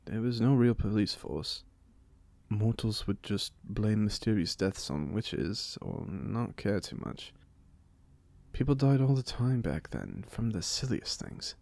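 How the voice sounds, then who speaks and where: A man acts out a playful British vampire for an ASMR roleplay. His voice sits fairly low with a gravelly fry, recorded dry and close. He is genteel and theatrical, yet chatty and full of wry jokes. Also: lively intonation, slow pace, darkish tone, dry recording, exaggerated voice. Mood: wry, confiding. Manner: theatrical, genteel, jokey, conversational. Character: playful, chatty 127-year-old male vampire being interviewed.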